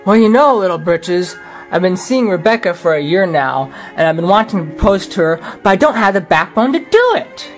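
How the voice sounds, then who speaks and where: A man's voice: sits high, big pitch swings, brisk. A man voicing a big, easygoing male bear pilot who speaks in a hesitant, folksy way. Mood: nervous. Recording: music playing behind the voice.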